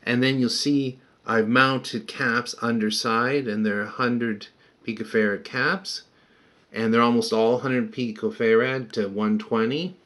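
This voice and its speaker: A man's voice: medium pitch, fairly even intonation, takes it slowly, fairly bright tone. An older man casually walking through a repair in a plain-spoken, explanatory way as a hobbyist restorer. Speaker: vintage radio restorer and presenter. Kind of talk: casual hands-on repair walkthrough.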